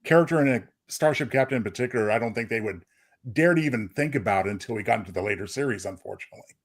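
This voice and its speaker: A man's voice: fairly low pitch, lively intonation, very fast. A man speaking as a podcast panelist in a casual, analytical discussion.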